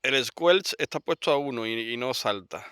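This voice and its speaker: A man's voice: mid-range voice, lively intonation, normal speed, a bit bright, no echo, studio quality. A man casually explaining his gear as a radio hobbyist, speaking unscripted. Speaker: radio hobbyist presenting a demo. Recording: clearly noisy, radio static and clicks.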